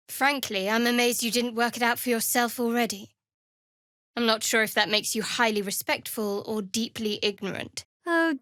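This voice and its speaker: A young female cleric sworn to a dark goddess in a fantasy video game. She speaks in a slightly raised feminine register, dry and guarded, with a sardonic edge and clipped phrasing. The sound is studio-dry, with no room around it. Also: a little high, no echo. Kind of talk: video game character dialogue.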